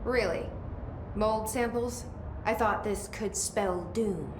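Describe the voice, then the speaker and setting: A woman's voice: medium pitch, slow pace, noisy background, lightly acted. A woman acting as a composed, dry, matter-of-fact investigator in a video game, with an understated manner. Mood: cool, questioning. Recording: roomy echo, music underneath.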